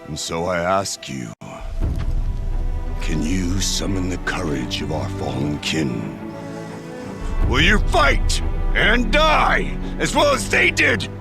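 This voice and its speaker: A man's voice: huge pitch swings, slow, creaky, long pauses, loud music behind the voice, heavily acted. An actor playing a fierce male warrior chieftain giving a rousing funeral speech to his hunters in a game cutscene. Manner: booming, rousing oratory.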